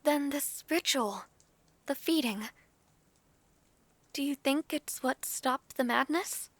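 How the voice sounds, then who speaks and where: A woman playing a gentle, anxious young half-dragon princess in an audio roleplay, speaking softly and formally. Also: high-pitched, slow pace, dry recording. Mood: weary, thoughtful. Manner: soft, pensive, formal old-fashioned speech. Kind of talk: audio roleplay drama acting.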